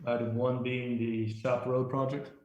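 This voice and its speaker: A man's voice: medium pitch, flat delivery, unhurried, darkish tone. An American man chairing a public meeting, speaking procedurally and off the cuff. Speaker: commission chair presiding over a public meeting. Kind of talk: formal meeting procedure, unscripted. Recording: roomy echo, noisy meeting audio.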